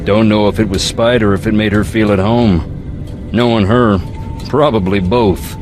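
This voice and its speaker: An actor playing a brooding, philosophical young ghost hunter in a video game, with a Southern US accent and a wry, folksy drawl. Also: male voice, lowish voice, steady tone, a little slow, over music, close to an everyday voice. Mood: reflective. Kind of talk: video game character dialogue.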